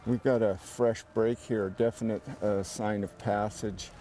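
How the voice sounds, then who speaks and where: A man with a low, mellow voice gives a hands-on field demonstration. His intonation is quite flat, and there is faint outdoor noise around him. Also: a little slow, faint music behind. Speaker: search and rescue tracker. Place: outdoors in the woods.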